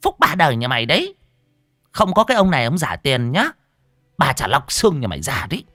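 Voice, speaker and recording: A man's voice: wildly swooping pitch, fast, gravelly, a bit bright, slightly rough, no echo, clean studio sound, faint music behind, exaggerated voice. A man voicing a fat, foul-mouthed, furious woman who runs a rice eatery, scolding and cursing in barked questions. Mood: indignant, threatening. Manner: shrill cursing, scolding, barking rhetorical questions.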